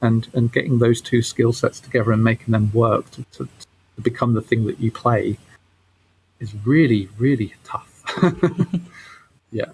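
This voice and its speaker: A man's voice: mid-range voice, unhurried, pauses often, darkish tone, slight background noise, rough recording. A man speaking casually as an interview guest, explaining things as a game developer and rephrasing as he goes.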